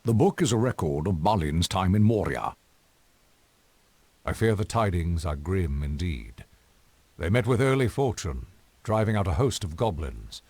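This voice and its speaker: An actor playing a grave, stern old wizard in a fantasy video game, with solemn, weighty delivery. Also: male voice, low-pitched, sing-song, unhurried, pauses often, slight background noise, heavily acted. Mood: ominous. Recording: loud game music over the voice.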